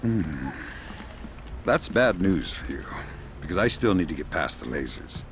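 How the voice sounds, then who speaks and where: A low-voiced, seasoned secret agent for a video game. He is cool and wry, delivering everything deadpan. Music plays clearly behind him. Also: unhurried. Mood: menacing deadpan. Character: cool, dryly sarcastic veteran male spy.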